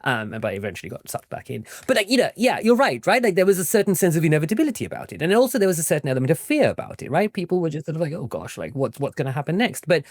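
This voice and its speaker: A man talks as an interview guest in a quick, highly animated voice, with pitch that moves around a lot. He explains in an informal, conversational way and often tags his points with a checking "right?" The sound is dry and close. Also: dry recording. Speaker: interview guest, historian and author.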